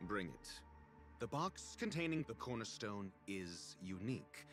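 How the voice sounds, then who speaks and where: A male actor playing a haughty, sardonic scholar in a video game, speaking in an arrogant, deliberate, dry manner. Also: on the low side, fairly bright tone. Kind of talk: scripted video game dialogue. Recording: music behind the voice. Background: music.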